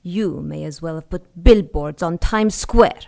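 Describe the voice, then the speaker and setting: An imperious female cult leader, with a lowish voice and a marked creak. She speaks with scorn and command, treating her underlings as fools in classic pulp radio-drama fashion. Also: measured pace, darkish tone. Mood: scornful. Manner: condescending, commanding, clipped orders.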